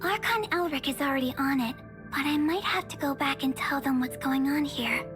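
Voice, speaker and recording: Woman voicing a very high-pitched, excitable young researcher. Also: moderate pace, lightly acted. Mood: earnest, explaining. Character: young, excitable, anxious female researcher and engineer. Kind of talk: anime-style game voice acting. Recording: game dialogue over background music.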